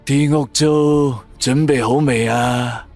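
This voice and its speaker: An eerie ghost landlord, acted by a man, drags out each demand for rent slowly and calmly. It is radio horror drama, with faint music behind. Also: medium pitch.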